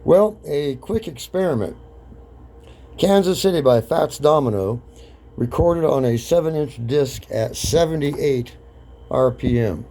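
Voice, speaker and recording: A man's voice: fairly high pitch, lively intonation, measured pace, dark tone. A man hosting a hobby demonstration video, talking casually to his viewers. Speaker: host of a record-cutting hobby video series. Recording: clear background noise.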